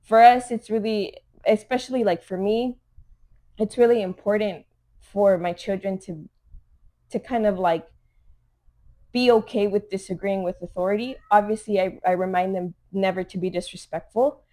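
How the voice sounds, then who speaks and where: Earnest mom chatting on a podcast. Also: female voice, medium pitch, moderate pace, warm tone. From Los Angeles. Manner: earnest, conversational, lots of "like" and "you know". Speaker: podcast co-host, mother. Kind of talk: casual chat.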